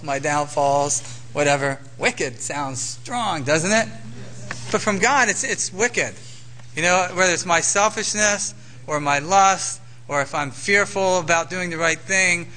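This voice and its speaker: Male pastor, high bright voice, chatty sermon delivery with rhetorical questions, faint background noise. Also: poor audio. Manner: conversational, self-deprecating, rhetorical questions.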